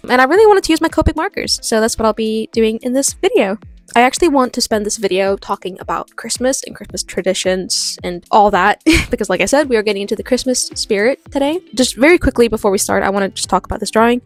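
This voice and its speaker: A woman's voice: on the high side, no room sound. A young woman with an American accent, talking casually and chattily about her drawing and holiday traditions in an art vlog voice-over. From born in the US, raised in Norway. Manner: chatty, laughs often, rambling asides. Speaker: art channel host. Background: soft background music.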